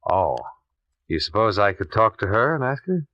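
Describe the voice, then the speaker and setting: A man's voice: on the low side, sing-song, normal speed, dry recording. A man playing a blunt, dogged insurance investigator in a 1950s radio detective drama, speaking in a clipped, pointed way. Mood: probing, pointed. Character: dogged, blunt male insurance investigator narrating his case. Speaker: lead actor and narrator. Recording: dull lo-fi old radio recording.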